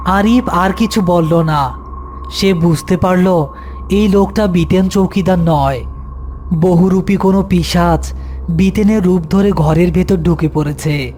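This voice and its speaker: High-pitched man narrating a horror story. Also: unhurried, darkish tone, faint music behind.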